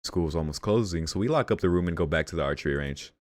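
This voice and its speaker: A man's voice: sits low, lively intonation, fast talker, darkish tone, no room sound, studio quality. A man hosting a let's read, reading visual novel narration aloud and dropping in casual asides. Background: game music.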